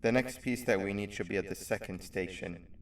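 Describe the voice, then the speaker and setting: Male actor as weary game guide, lowish voice, reflective, guarded, understated, distant from mic, background hiss and ambience. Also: a little fast, roomy sound, close to an everyday voice. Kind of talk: video game character dialogue.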